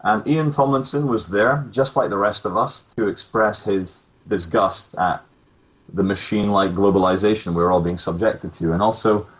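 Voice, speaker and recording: A man's voice: on the low side, normal speed, poor audio. A man giving a spoken eyewitness account as a guest in an interview.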